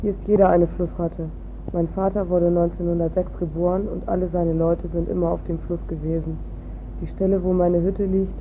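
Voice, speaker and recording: A woman reading a book excerpt aloud in a scripted style. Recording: tinny phone-like sound, faint rumble.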